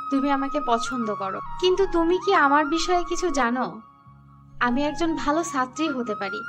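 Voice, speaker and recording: Cartoon role: humble poor young woman, very high girlish voice, earnest, soft music underneath. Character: sweet, humble young woman, poor student and dutiful daughter. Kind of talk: cartoon dialogue.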